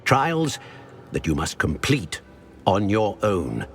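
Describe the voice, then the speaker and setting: A solemn ancient wizard portrait. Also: male voice, on the low side, big pitch swings, heavily acted. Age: older. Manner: grave, measured and formal. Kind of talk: video game cutscene acting.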